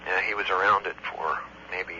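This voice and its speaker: Male air traffic controller, very forceful bright tone, halting factual delivery, lo-fi phone recording with faint hum. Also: low voice, steady tone. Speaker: air traffic controller, tower area supervisor. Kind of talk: phone report of an incident, conversational eyewitness account.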